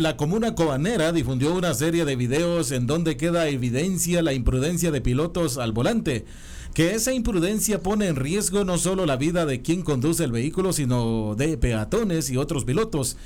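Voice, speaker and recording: A man reading regional news as anchor in a measured, formal way. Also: on the high side, animated, darkish tone, faint music behind. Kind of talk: read news report.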